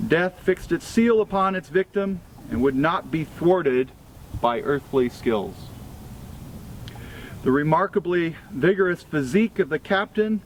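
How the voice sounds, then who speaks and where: American guy reading an old obituary out loud, kinda high voice, slow solemn phrasing, noisy outside with traffic. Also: expressive. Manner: deliberate, solemn, phrase-by-phrase delivery. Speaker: freemason reader at a memorial event. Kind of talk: formal read-aloud obituary tribute. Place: outdoors at a cemetery event. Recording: clear ambient outdoor noise with vehicles.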